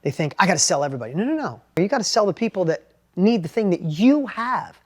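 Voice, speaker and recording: A middle-aged man with a New York accent and a high-pitched voice, giving an animated, plainspoken motivational talk about sales. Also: quick pace. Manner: animated, plainspoken, repeats and restarts words. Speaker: entrepreneur and sales educator. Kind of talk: motivational talk with personal story.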